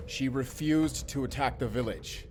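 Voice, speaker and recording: A blunt human defender acted by a man talks in a medium-pitched voice over faint noise. He is curt, sarcastic and defiant, in fantasy audio drama style. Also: a little slow, natural voice.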